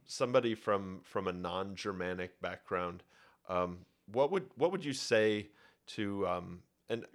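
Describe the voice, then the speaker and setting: A male podcast host with a fairly low voice. He asks his guest long, winding questions. He searches for words and fills pauses with frequent ums. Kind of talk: interview questions.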